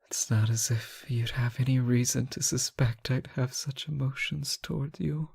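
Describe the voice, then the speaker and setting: A man playing a lovesick, anguished captive ruler in a fantasy romance audio drama, halting and often dropping toward a whisper. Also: medium pitch, fairly even intonation, gravelly, darkish tone, breathy edge. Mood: aching, intense. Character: captive reckless but benevolent male ruler, lovesick and anguished. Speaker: audio roleplay performer.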